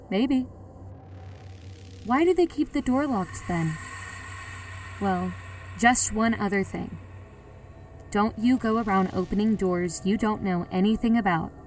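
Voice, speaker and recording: A woman playing a frightened young woman who recounts an eerie ordeal in a radio horror drama, hushed with dread and anxious. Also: mid-range voice, close to an everyday voice. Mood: haunted, ominous.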